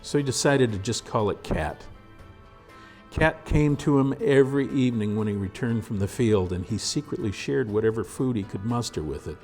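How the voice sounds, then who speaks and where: A man reading a story aloud as narrator in a measured, dramatic storytelling manner. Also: medium pitch, darkish tone, faint music behind. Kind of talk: scripted audiobook narration.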